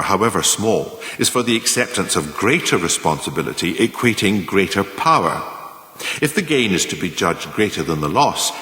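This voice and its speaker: An actor playing a shrewd, ruthless management mentor in a radio play, lecturing in formal, measured, emphatic lessons. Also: male voice, on the low side, sharp tone, heavily acted. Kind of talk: scripted radio play monologue, delivered as a lecture in numbered lessons. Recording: echoey room with long reverb.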